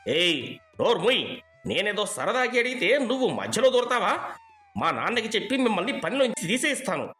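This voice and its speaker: A man's voice: high voice, big pitch swings, brisk, pushed and bright, soft background music, heavily acted. A man voicing an arrogant, bullying young son of a rich merchant in a story, sneering and accusing. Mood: threatening.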